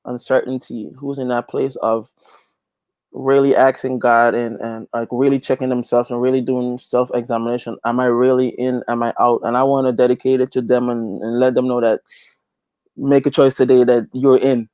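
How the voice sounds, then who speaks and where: A man's voice: medium pitch, little pitch movement, normal speed, darkish tone, clear, resonant tone, dry recording. A man from Guyana, talking as an interview guest in an earnest, emphatic, preacher-like way, repeating phrases for emphasis. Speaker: interview guest, gospel reggae singer and youth pastor. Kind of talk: conversational interview, preaching-like testimony.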